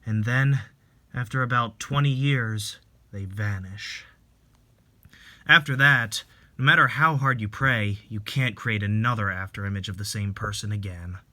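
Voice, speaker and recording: A man playing a calm, gentle young married man in a dramatic reading, soft-spoken and reflective. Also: lowish voice, measured pace, pitched below the performer's own voice. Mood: wistful, explanatory.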